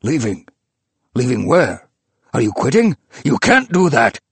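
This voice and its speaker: A man doing a wild-eyed old rich man, voice pushed up high and loud, fierce and rapturous, drawing out the big words slowly and swinging his pitch all over the place. it sounds close and dry, no room at all. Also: big pitch swings, slightly rough, dry recording, full character voice. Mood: urgent. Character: feverish, imperious old male book collector.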